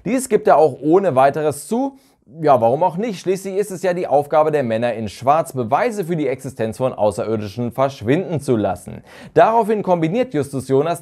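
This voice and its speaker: Man, thirties, lively melodic delivery, fairly high voice, scripted review host. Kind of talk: scripted review commentary to camera. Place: small furnished room indoors. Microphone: lapel mic.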